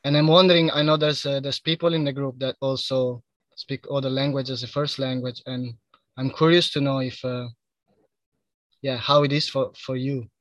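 A man's voice: medium pitch, flat delivery, moderate pace, dry recording, highs cut off. A man with an Italian accent, a native Italian speaker, sharing in a group hesitantly with many fillers. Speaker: workshop participant.

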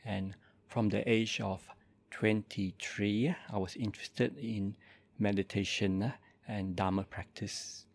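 A Buddhist monk in his forties from Malaysia, speaking softly and calmly as he introduces and leads a guided meditation. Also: fairly low pitch. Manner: slow, soft-spoken and calm, with gentle pauses.

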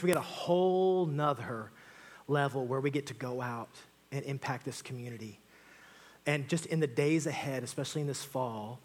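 A man, a pastor giving casual, upbeat church announcements to his congregation. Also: mid-range voice. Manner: casual and upbeat, with frequent fillers. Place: church sanctuary.

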